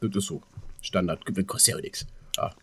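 A man's voice: deep voice, brisk, slightly rough. A man, chatting casually and colloquially as a podcast co-host, with plenty of slang.

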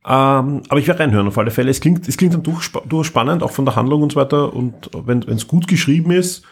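Austrian male podcast host, slightly rough husky voice, conversational moderating and reviewing. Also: medium pitch, expressive, fast talker. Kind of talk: casual moderating and review chat.

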